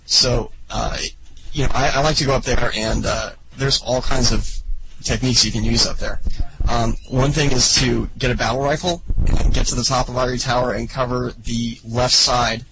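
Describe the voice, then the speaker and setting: A man's voice: mid-range voice, steady tone, normal speed, noisy background. A man co-hosting a casual gaming podcast, rambling with lots of fillers and laughing easily. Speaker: podcast co-host.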